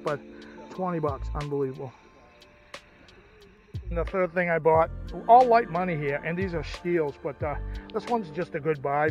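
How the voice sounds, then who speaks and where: A middle-aged man with a slightly high voice talks folksily and enthusiastically to his camera, as an outdoor vlogger would. The sound is a little muffled, with some music behind him. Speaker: outdoors and ham radio vlogger. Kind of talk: casual vlog commentary. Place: outdoors, by a stream and at a parked car.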